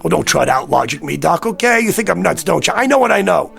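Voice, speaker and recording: A man's voice: high voice, expressive, quick pace, gravelly, fairly bright tone, faint music behind, heavily acted. An actor playing an agitated, desperate American man in a radio drama, pleading insistently in streetwise talk. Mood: troubled, insistent. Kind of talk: vintage radio drama acting.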